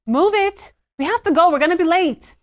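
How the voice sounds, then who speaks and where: A woman's voice: extremely high pitch, lively intonation, normal speed. A woman playing a fierce, impatient woman in her thirties, curt and bossy, in a screenplay table read.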